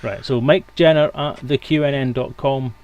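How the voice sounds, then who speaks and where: A man's voice: mid-range voice, fairly even intonation, moderate pace, a little mellow. A man hosting a live stream in casual chat. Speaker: stream host.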